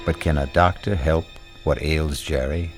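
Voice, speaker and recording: A man hosting a radio drama, narrating in a deliberate, theatrical old-time style. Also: rumbling low, fairly even intonation, faint music behind.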